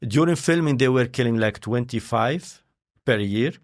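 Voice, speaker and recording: A man who is a scientist and filmmaker, speaking English as a second language in a halting way, often pausing to search for words. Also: medium pitch, lively intonation. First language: not English. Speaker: interview guest, scientist and filmmaker. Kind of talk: conversational interview.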